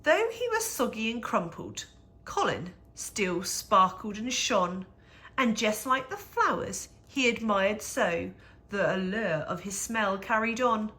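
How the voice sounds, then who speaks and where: A woman reading a rhyming picture book aloud for young children, with measured, expressive storytelling and dramatic emphasis. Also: mid-range voice.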